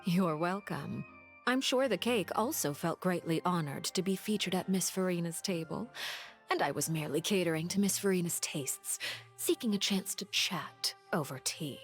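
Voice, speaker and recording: A woman's voice: on the low side, normal speed, soft background music, lightly acted. An actress playing a coolly polite noblewoman interrogator in a game, formal and silky with veiled mockery. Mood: politely sly. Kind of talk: anime-style game voice acting.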